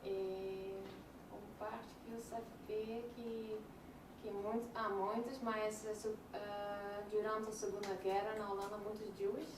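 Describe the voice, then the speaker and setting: A woman from the Netherlands with a Dutch accent, a student speaking to a class in halting second-language speech. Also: mid-range voice, level tone. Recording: noisy echoey room, far from mic.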